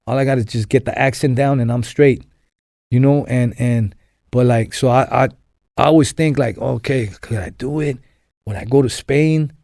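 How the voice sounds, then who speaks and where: A man's voice: medium pitch, steady tone, moderate pace, gravelly, warm tone, dry recording, studio quality. A young man from Los Angeles, a podcast guest telling his story in a rambling way, full of fillers and restarts. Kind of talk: casual storytelling interview.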